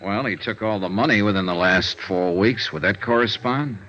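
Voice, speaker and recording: An actor playing a wry, persistent insurance investigator in a vintage radio noir drama, clipped and probing. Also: male voice, fairly low pitch, normal speed, a bit bright, a little hiss or hum, natural voice. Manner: clipped expense-account narration, probing questioning. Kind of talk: 1950s radio noir acting, narration and dialogue. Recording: vintage radio recording, dull, music behind.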